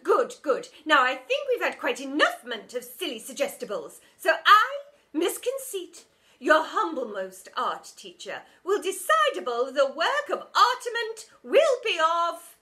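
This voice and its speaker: A woman's voice: sits high, huge pitch swings, a little slow, bright, forceful tone, pitched above the performer's own voice, exaggerated voice. A woman voicing a vain, pompous female art teacher who drags out her words and mangles them with made-up endings. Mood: grandiose. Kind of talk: storybook character voice.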